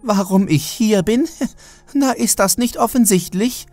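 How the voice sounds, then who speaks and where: Man putting on a shrill, haughty old lady. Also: unhurried, a little mellow, dry recording, faint music behind. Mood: snappy. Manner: imperious, complaining. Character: haughty, forgetful, demanding fat old lady patient. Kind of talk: horror audiobook character voice.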